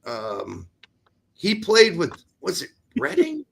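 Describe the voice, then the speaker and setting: A man's voice: high-pitched, huge pitch swings, takes it slowly, gravelly, fairly bright tone, slight background noise. A man with an American accent chatting casually about sports as a livestream co-host, blunt and opinionated.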